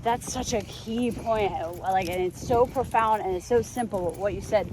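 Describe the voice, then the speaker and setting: A woman's voice: medium pitch, normal speed, noisy background. A woman in a casual philosophical chat, talking in a rambling way and often given to laughter.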